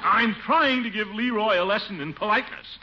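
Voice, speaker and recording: An actor playing a pompous, easily flustered middle-aged uncle in a blustery 1940s radio comedy style. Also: male voice, high-pitched, lively intonation, fairly quick, heavy background noise. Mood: self-important. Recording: dull vintage radio recording.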